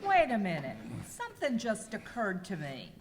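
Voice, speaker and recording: A woman's voice: medium pitch, huge pitch swings, moderate pace, crowd noise. A woman playing a worried, suspicious cruise passenger in an amateur horror comedy radio skit. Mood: dawning alarm. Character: worried, suspicious female cruise passenger piecing clues together. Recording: echoey hall, background noise.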